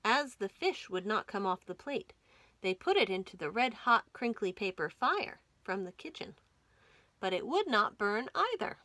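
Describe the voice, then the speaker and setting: A woman reading a children's story aloud as a storytime host, with dramatic, expressive delivery. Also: mid-range voice, normal speed, no room sound. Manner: dramatic, animated storytelling with whispers and emphasis. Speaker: library storytime reader.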